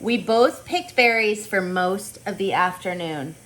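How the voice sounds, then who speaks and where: A woman teaching on a video call, reading aloud and chatting with children in an expressive, encouraging way. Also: mid-range voice, unhurried, slight background noise, poor audio. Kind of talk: online storybook read-aloud with casual chat.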